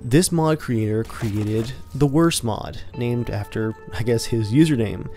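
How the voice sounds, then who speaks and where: A man of middle pitch hosting a gaming vlog, talking in a relaxed, conversational ramble. Faint music plays quietly behind his voice. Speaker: gaming channel host. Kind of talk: casual gameplay commentary.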